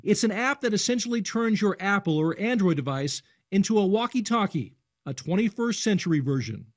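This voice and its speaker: A man narrates a scripted news package as a reporter. His voice is pitched clearly high for a man. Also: sing-song, fairly quick.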